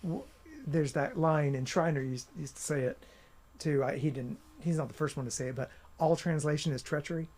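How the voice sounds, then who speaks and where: Animated man, brisk and lively. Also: a little high. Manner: animated storyteller, many restarts and "you know". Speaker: author and editor, guest. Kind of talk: casual conversational interview.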